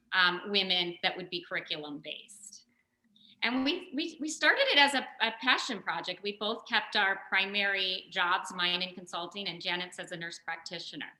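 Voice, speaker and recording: A woman guest and business co-founder giving measured, articulate interview answers. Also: mid-range voice, bright, forceful tone, reverberant, rough recording.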